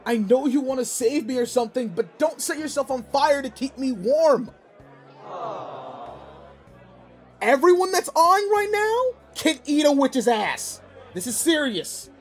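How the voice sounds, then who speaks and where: A man's voice: very high voice, expressive, moderate pace. A man playing a brusque, anxious young student bully who secretly cares, in an audio roleplay drama with emotional delivery. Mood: upset, scolding.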